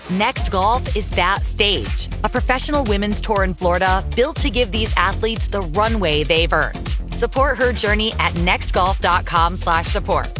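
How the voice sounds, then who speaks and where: A woman narrates an ad like a short, earnest story. Her voice sits in the middle range, and music plays clearly underneath. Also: mid-range voice. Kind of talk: scripted narration ad.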